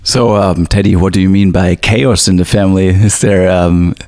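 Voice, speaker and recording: A man hosting a podcast interview, talking with many fillers and halting restarts. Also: low-pitched, steady tone, darkish tone, no room sound, studio quality. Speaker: podcast host.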